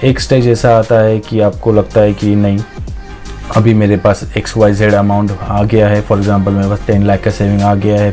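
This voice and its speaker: Low, mellow-voiced man, reflective. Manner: hesitant, reflective, mixing in English words. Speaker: motivational storyteller. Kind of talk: informal life-lesson monologue.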